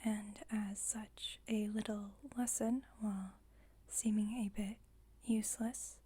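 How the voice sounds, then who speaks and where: A woman playing a composed, formal vampire coven leader in an ASMR roleplay, whispering softly in a measured, instructive, gently wry way. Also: medium pitch, level tone. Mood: wryly instructive. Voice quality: breathy, whispered, soft.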